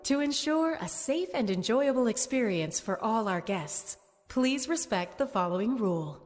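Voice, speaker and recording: A woman's voice: fairly high pitch, animated, normal speed, lightly acted. A woman playing a polite, eerily controlling theme park announcer, giving formal scripted announcements in a game. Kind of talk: public address announcement. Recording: long hall reverb, music behind.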